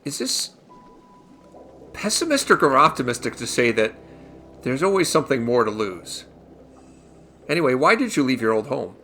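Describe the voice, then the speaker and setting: Male let's play host, casual commentary, gravelly from a cold, bright edge, close USB desk mic. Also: medium pitch, very animated, normal speed, long pauses, a little hiss or hum. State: fighting a cold. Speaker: gaming channel host. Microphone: USB desktop condenser microphone. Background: game music.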